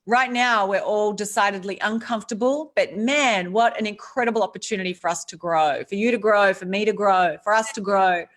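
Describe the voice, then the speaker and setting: A woman's voice: mid-range voice, fairly quick, few pauses. Earnest Australian woman, reflective. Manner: earnest and reflective, with many restarts. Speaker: author guest. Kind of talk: casual interview chat.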